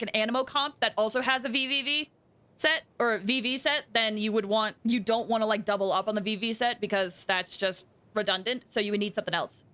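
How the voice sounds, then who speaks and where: A woman game streamer chatting casually and excitably, thinking aloud with frequent exclamations as she plays. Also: a little high. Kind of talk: casual livestream gaming chat.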